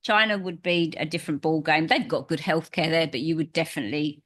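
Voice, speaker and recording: A woman in her fifties, a podcast guest who tells stories in a lively way and acts out her own reactions. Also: sits low, brisk. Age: 50s. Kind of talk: casual conversation.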